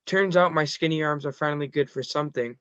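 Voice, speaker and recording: A man's voice: fairly high pitch, fast talker, a bit bright, smooth, dry recording, lo-fi sound, natural voice. A man playing a good-natured teenage boy in a screenplay table read. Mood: casual, amused. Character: Gerald, good-natured skinny teenage boy, slow learner.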